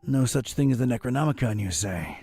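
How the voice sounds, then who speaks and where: Old-fashioned private eye, dark mellow tone, raspy, gruff, wry, adventure game, faint music behind. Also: male voice, medium pitch, expressive, fairly quick. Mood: dry, probing. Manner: hesitant probing, old-time gumshoe phrasing. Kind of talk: animated video game voice acting.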